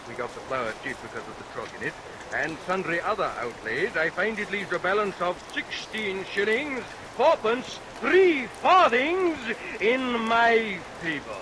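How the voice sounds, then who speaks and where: An actor playing a scheming, murderous villain barber in a vintage radio melodrama, declaiming theatrically and relishing each threat. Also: male voice, high-pitched, very animated, unhurried. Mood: sly, mocking. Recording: vintage radio recording under rain noise.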